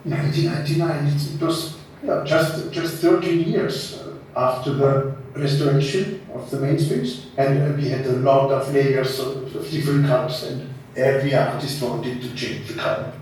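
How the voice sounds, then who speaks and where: A man from West Austria with an Austrian German accent, a German speaker recalling past exhibitions in halting English full of repeats and restarts, in a large exhibition space. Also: a little high, fairly even intonation, darkish tone, a little hiss or hum. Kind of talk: casual recollection on a guided tour. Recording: roomy hall, speaker far from mic.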